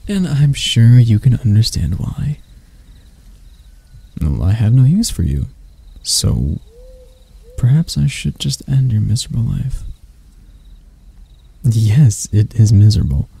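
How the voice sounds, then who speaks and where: A man playing a proud elf prince in a roleplay ASMR, speaking in a measured, haughty and intimate way to the listener. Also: medium pitch, very animated, creaky, warm tone, breathy edge, heavily acted. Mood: menacing, scornful. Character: proud elf prince, stern captor softening into bashfulness.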